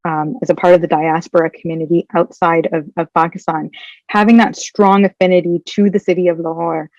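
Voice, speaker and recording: A young woman whose first language is Punjabi answers a conference interviewer, pausing often and choosing her words with care. Her voice sits a bit low, and the recording sounds dull and dry, with no room echo. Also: little pitch movement, a little mellow. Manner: thoughtful, measured, hesitant. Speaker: guest, PhD candidate.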